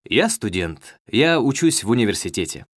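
A young man playing a polite student in a scripted textbook dialogue, reading slowly and clearly. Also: lowish voice, sing-song, no room sound, clean studio sound. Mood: friendly. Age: young adult. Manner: slow, carefully clear reading. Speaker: dialogue actor in a listening test.

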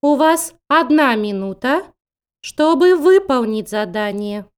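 A woman announcing exam instructions in a formal, deliberate manner. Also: high voice, expressive, smooth. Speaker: test announcer.

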